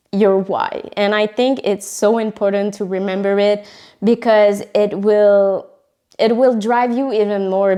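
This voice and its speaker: A woman's voice: mid-range voice, a little mellow. A young woman with a French accent, native French speaker, hosting a casual motivational podcast in English, earnest and full of fillers and restarts. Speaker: podcast host.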